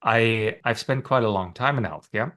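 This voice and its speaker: A middle-aged man with a German accent, speaking as a panelist and course instructor in a relaxed webinar discussion from his office. He explains his points at length, often checks in with a quick "right?" and laughs at himself now and then. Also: lowish voice. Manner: explanatory, with frequent "right?" tags and self-deprecating laughs. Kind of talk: conversational webinar talk. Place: home office.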